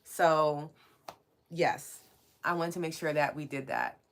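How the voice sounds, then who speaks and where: A woman's voice: sits low, steady tone, takes it slowly. A woman with a strong New York accent hosting a live spiritual podcast, chatting and reading out listener comments. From New York City. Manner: warm, chatty, reads out listener comments and thanks them. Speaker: podcast host and coach.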